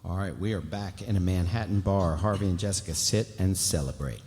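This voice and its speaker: A man's voice: deep voice, brisk, darkish tone, soft background music. A man reading stage directions as scripted narration at a live table read, with casual ad-libs. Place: large hall, live festival stage. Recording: reverberant hall, background noise.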